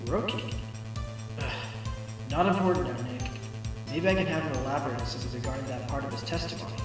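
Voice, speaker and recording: A man's voice: on the high side, brisk, lightly acted, rough recording. A man playing an earnest, determined young defense lawyer in an amateur courtroom audio drama, with music behind him. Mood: unsure, thoughtful.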